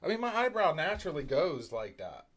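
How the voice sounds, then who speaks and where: A man's voice: a little high, wildly swooping pitch, fairly quick, a bit bright. A man co-hosting a casual live-stream chat, swearing freely, joking around and ranting heatedly. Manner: profane, joking, heated rants. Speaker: co-host.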